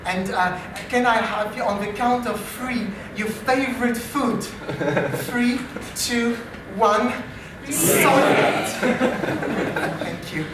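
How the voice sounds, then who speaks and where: A man with a French accent addresses a live audience at an unhurried pace, dry and self-deprecating. He sounds far from the mic, in a very echoey room. There is a constant layer of noise behind him. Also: very high-pitched, expressive. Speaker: AI researcher and improv performer. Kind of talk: live comedy stage talk. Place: live comedy meetup venue. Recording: distant, very echoey live venue.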